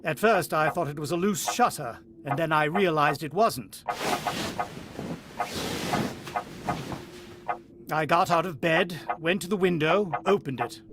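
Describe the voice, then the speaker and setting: An actor playing a brooding, uneasy husband and father in a vintage-style radio drama, musing with dramatic pauses. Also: on the high side, noisy background, natural voice. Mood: tense narration.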